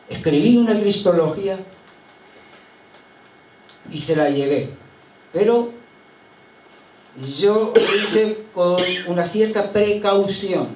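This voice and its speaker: High-voiced older pastor, distant and roomy. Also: male voice, a little mellow, slight background noise. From Chile. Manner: unhurried anecdotal storytelling with frequent hesitations. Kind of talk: sermon. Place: church sanctuary. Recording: roomy church sound from a distant mic.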